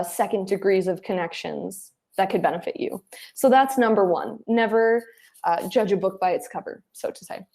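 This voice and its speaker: A woman speaking as an interview guest, giving advice and telling anecdotes in an animated, conversational way. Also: medium pitch. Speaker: interview guest, head of client acquisition.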